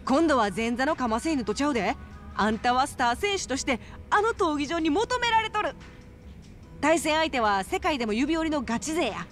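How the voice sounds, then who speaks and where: A woman voicing a brash, coaxing female fight promoter with a Kansai accent in a video game. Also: high-pitched. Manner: animated, coaxing, emphatic. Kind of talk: video game cutscene acting.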